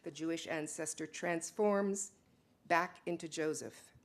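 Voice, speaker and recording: A woman's voice: low voice, a little slow. A woman narrating scripted stage directions as part of a dramatic reading. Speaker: dramatic reader.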